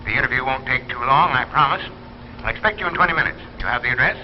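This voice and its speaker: An actor playing a guarded, businesslike recruiter, polite and brisk, in 1950s drama style. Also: male voice, mid-range voice, expressive, lightly acted. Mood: polite, eager. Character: guarded, businesslike recruiter arranging a secretive interview. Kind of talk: 1950s anthology drama acting. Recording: muffled 1950s broadcast, faint noise.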